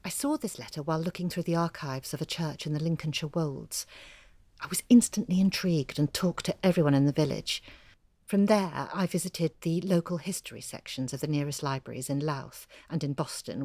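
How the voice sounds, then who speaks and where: A bookish woman writer in an audio drama, low-pitched and closely recorded in a dry space. She is articulate and confiding, telling her research like an intimate story, with real enthusiasm. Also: animated, normal speed, darkish tone, no room sound. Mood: intrigued, eager.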